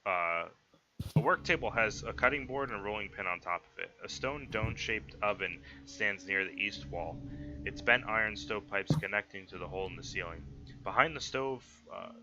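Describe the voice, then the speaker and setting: A man's voice: fairly low pitch, moderate pace, pushed and bright. A man acting as game master for a tabletop roleplay session, moving between narrating and casual table chat. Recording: dull lo-fi audio with music behind. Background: background music.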